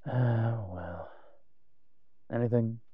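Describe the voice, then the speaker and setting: A man playing a wry, cautious vampire father in an audio roleplay, speaking in a measured, musing way to the listener. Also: medium pitch, slightly rough, no echo, phone-quality sound. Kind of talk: scripted audio roleplay acting addressed to the listener.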